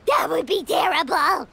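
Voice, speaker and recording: Squeaky, excitable little fairy girl. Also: a little hiss or hum, full character voice. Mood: alarmed. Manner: speaks of self in third person. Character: tiny childlike floating fairy companion, naive and excitable. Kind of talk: animated video game character acting.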